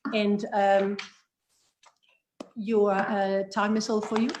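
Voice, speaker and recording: A woman's voice: mid-range voice, flat delivery, takes it slowly, background noise. A woman chairing a parliamentary committee hearing, speaking politely with some fillers.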